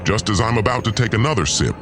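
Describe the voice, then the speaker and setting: A man performs a cold sci-fi tyrant with a low voice that rises and falls dramatically. He is pompous, gloating and vengeful, telling his own tale as audio drama over clear background music. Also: expressive, fast talker, natural voice. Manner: pompous, menacing, theatrical. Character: cold, vengeful, gloating male usurper lord, sci-fi villain. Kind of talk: first-person audio drama narration and dialogue.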